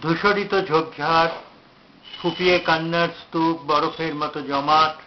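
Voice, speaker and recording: A man with a slightly high voice recites his poetry very slowly. His phrasing is measured and declamatory, broken by pauses. The recording is dull and lo-fi, with faint noise behind him. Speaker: poet reciting own poem.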